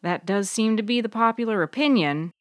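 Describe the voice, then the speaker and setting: A woman's voice: a little high, fairly quick, no room sound, voice pushed up, natural voice. A narrator woman plays a college-age young woman in an audiobook story, anxious and defensive. Her voice rises well up as she questions and protests, in a dry recording. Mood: argumentative.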